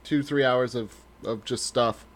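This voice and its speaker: A man's voice: medium pitch, fairly even intonation, measured pace. A man co-hosting a talk stream in a blunt, casual way, swearing freely. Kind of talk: casual, profane chat.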